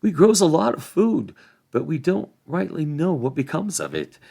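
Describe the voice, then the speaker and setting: A man's voice: a little high, big pitch swings, normal speed, creaky, mellow, breathy edge, pitched above the performer's own voice, full character voice. A man voicing an old, deferential hobbit gatekeeper with folksy rural speech. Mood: grumbling. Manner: folksy rural grammar, apologetic.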